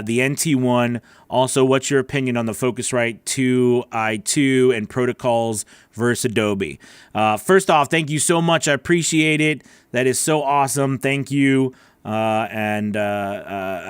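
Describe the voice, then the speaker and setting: A man's voice: mid-range voice, clean tone, dry recording. A man hosting a casual livestream Q&A as a voice-over coach, rambling with many fillers and restarts.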